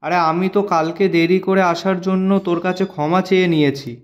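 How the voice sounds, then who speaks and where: Man playing an earnest, higher-pitched honest cartoon shopkeeper. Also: steady tone, quick pace, lo-fi sound, close to an everyday voice. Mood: puzzled, worried. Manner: earnest, pleading, lamenting. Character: honest, gentle, hurt shopkeeper pleading with his friend. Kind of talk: moral-story cartoon voice acting.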